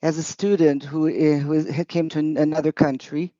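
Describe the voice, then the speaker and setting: Mellow, hesitant woman interpreter. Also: sits low, little pitch movement, a little fast, no room sound. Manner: hesitant, piecemeal phrasing. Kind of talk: halting consecutive interpretation. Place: committee hearing. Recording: dull, muffled audio.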